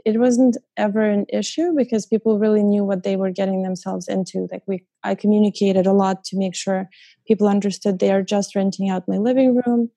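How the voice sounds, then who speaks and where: A young woman from Ukraine speaks as a guest in a casual interview. Her voice is dark and gravelly, and her pitch stays flat. She hesitates often, with ums and small laughs. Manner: hesitant, many ums and uhs, laughs softly. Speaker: guest, short-term rental host.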